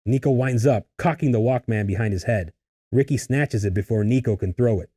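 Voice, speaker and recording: A man's voice: mid-range voice, a little fast, mellow, slightly rough. A man reading stage directions as scripted narration for a table read.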